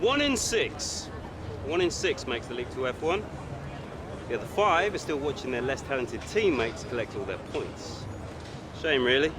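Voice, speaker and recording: A man's voice: mid-range voice, big pitch swings, normal speed, noisy background, natural voice. An actor playing a smug, sarcastic young racing driver who needles his rivals in a video game cutscene. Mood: smug, needling.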